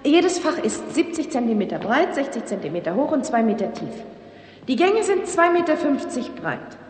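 Animated, high-voiced earnest young woman. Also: moderate pace. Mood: brisk, instructive. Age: young adult. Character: earnest young woman, doctor's daughter, guiding visitor. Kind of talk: 1960s German radio drama acting. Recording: reverberant, noisy vintage radio recording.

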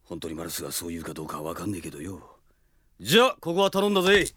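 A man's voice: low voice, wildly swooping pitch, very fast, fairly bright tone, slightly rough, heavily acted. A male actor playing a brash, battle-hardened but loyal mercenary in a radio drama, with blunt, slangy, casual speech. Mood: scolding, firm. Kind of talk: Japanese radio drama acting.

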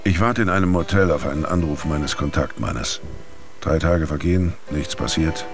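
Radio drama investigator: bottom-register voice, matter-of-fact, wry, narrating a log over music. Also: male voice, extremely low pitch, normal speed. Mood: dry, wry. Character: male investigator dictating a dated field log, wry, weary. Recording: music under the voice.

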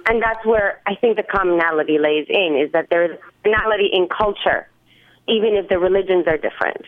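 A woman's voice: on the low side, quick pace, dry recording. Woman on a tinny phone line. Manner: earnest, searching for words with restarts. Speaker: musician guest. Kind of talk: interview over the phone.

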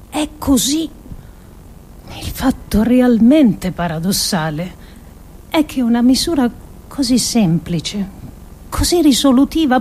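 An actress performing a theatrical monologue as a grave, idealistic political thinker, with deliberate, emphatic phrasing and dramatic pauses. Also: female voice, fairly high pitch, darkish tone. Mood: ironic, argumentative. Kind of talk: theatrical scripted monologue adapted from philosophical essays.

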